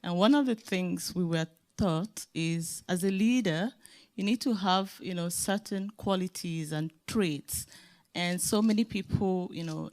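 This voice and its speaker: A woman's voice: on the low side, a little mellow. A woman with a Nigerian accent, a leadership specialist speaking emphatically on a conference panel. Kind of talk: panel discussion remarks.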